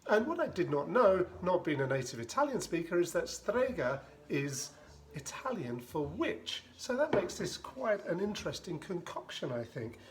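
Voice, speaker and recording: A man's voice: sits high, very animated, moderate pace, slight background noise. Chatty man mixing a cocktail. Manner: chatty, rambling asides, dry humor; narrates while mixing and shaking drinks. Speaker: home bartender making a cocktail. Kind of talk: casual how-to demonstration.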